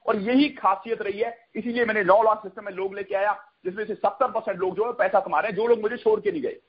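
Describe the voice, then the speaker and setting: A man gives an emphatic, repetitive sales pitch on a conference call, mixing in English phrases and asking questions that he answers himself. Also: steady tone, quick pace. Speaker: network marketing promoter hosting a conference call. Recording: dull, lo-fi conference call audio.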